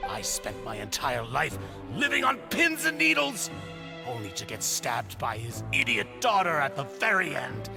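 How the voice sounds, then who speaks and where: Desperate villain, wildly swinging gravelly voice. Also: male voice, medium pitch, huge pitch swings, moderate pace. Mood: hysterical grief. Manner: theatrical, unhinged, anguished delivery. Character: desperate grief-maddened male villain obsessed with lost lover. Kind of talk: dramatic video game voice acting. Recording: music under the voice.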